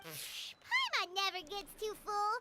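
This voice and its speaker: A woman voicing a small, cheerful, gluttonous fairy companion in a video game, squeaky and childlike, whining and exclaiming about herself in the third person. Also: huge pitch swings, slow pace, heavy background noise, heavily acted. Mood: eager, chatty. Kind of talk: video game character voice acting. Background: game music.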